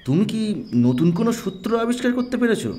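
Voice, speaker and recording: Man acting high-voiced, sceptical detective's sidekick. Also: sing-song, a little fast, warm tone. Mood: curious. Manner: questioning, incredulous. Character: puzzled, sceptical male companion of a detective. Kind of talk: audio story detective drama.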